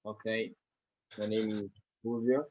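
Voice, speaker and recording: A man's voice: on the low side, flat delivery, measured pace, darkish tone, rough recording. A teenage boy, introducing himself briefly and matter-of-factly on a call. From Italy. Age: teens. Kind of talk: casual video call introduction. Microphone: video call.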